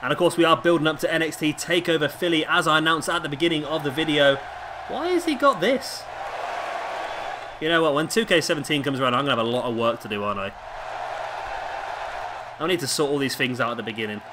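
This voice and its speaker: Male gaming commentator, slightly high-pitched but hoarse, casual play-by-play, over clear background noise. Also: fast talker, pauses often. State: hoarse with a sore throat. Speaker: gaming video host and commentator. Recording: clearly noisy home recording.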